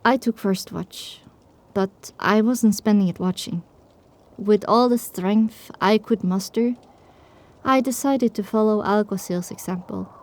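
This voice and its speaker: A woman's voice: mid-range voice, a little slow, darkish tone. A woman reading scripted first-person story narration for an audio drama.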